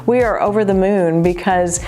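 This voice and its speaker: A woman's voice: mid-range voice, normal speed, mellow. A woman who owns a quilt shop, giving an earnest, emphatic customer testimonial. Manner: earnest, emphatic, restarts when moved. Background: faint background music.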